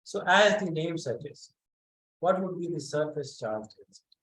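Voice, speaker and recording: A man teaching a physics class online, speaking haltingly and calling on students by name to check that they follow. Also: fairly high pitch, lively intonation, a bit bright, rough recording.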